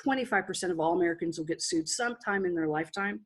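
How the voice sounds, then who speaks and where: Husky middle-aged woman telling her story. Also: fairly low pitch. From Texas. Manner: candid, driven, rapid with restarts. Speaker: podcast guest. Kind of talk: casual interview storytelling.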